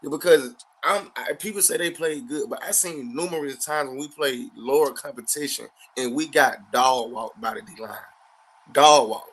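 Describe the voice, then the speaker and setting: A man's voice: medium pitch, normal speed, fairly bright tone, poor audio. A man, talking sports in a blunt, slangy, casual way and often repeating himself. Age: 30s.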